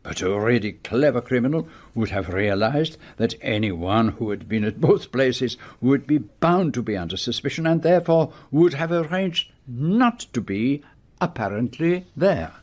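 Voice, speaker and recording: A man acting in a radio mystery drama as a shrewd, methodical detective who speaks precisely and deliberately, with theatrical pauses. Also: mid-range voice, expressive, a little mellow. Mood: probing. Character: shrewd, methodical detective explaining his solution.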